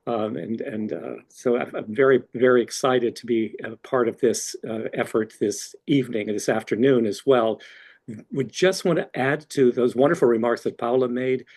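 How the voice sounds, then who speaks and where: Male professor giving earnest welcome remarks, husky tone, frequent restarts, video-call audio. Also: medium pitch, moderate pace, darkish tone. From Mexican background. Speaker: language center director and professor.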